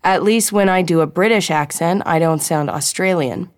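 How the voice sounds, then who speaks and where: A woman voicing a wry, eager female spy in an audiobook, brisk and holding back amusement. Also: fairly low pitch, no room sound, studio quality, natural voice. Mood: wry, playful.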